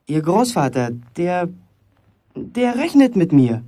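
A proud, wary teenage village apprentice in a radio play. He is terse and dry, stubbornly sure of himself, with an animated melody to his speech. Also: high-pitched, takes it slowly, heavily acted. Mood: earnest. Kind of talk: German radio drama acting.

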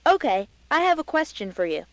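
Polite, curious female caller, acted by a woman, high-pitched, animated intonation, radio lesson dialogue. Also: brisk, no echo.

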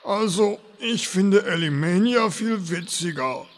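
A man playing a sardonic, unimpressed animal sidekick in a parody audio drama, deadpan and drawling. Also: high-pitched, slow.

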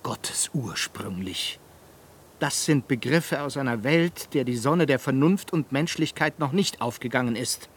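An actor in a German radio play playing a fiery, eloquent Italian humanist pedagogue who declaims with indignant rhetoric. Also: male voice, medium pitch, animated, measured pace, husky. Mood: proud, defiant.

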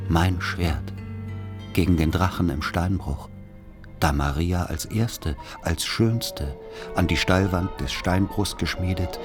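A man narrating a literary radio play as someone retelling his village boyhood in a solemn, declamatory way. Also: deep voice.

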